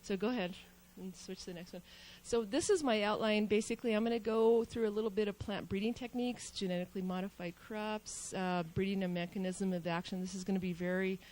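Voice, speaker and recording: A woman who farms organically and studies for a doctorate, giving a conference talk over slides, quick and informal with many ums and restarts. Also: medium pitch, steady tone, a little mellow, low-quality recording. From New Mexico. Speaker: panel speaker, organic farmer and doctoral student. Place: conference hall. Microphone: handheld mic.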